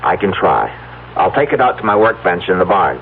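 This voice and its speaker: An actor playing a devoted, stubborn farmer husband in an old radio drama, with an emphatic, theatrical delivery. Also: male voice, low voice, sing-song, fairly quick. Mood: frustrated, determined. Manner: theatrical, emphatic, stammering when unsettled. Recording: tinny, hissy old radio recording with hum.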